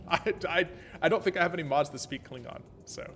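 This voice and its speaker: An American man streaming a naval battle game, thinking aloud about tactics and chatting with viewers in a relaxed way. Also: a little high, moderate pace, faint music behind. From American, born in Germany. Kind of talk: live gameplay commentary and chat. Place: Vienna home setup.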